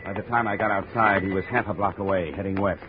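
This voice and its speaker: Deep-voiced hard-boiled American radio detective played by a man. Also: fairly even intonation, quick pace, loud music behind the voice, natural voice. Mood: grim narration. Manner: terse, hard-boiled, dry. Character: tough wry insurance investigator, hard-boiled male detective. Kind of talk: 1950s radio drama acting with first-person narration. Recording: muffled narrow-band old radio recording, crowd noise.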